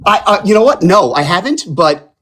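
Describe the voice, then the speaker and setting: A man hosting a casual live-streamed panel debate, animated and given to loud exclamations of disbelief. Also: high voice, normal speed, slight background noise. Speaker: panel host. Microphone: phone or computer mic on a live stream.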